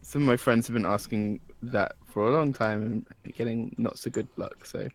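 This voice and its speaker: A man co-hosting a drawing critique stream, giggling and tossing in quick asides. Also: medium pitch. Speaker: co-host of an art critique stream. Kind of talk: casual group chat.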